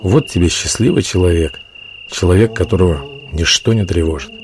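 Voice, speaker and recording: A man's voice: sits low, brisk, close to an everyday voice. Assured outlaw leader played by a man, faint noise. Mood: gentle.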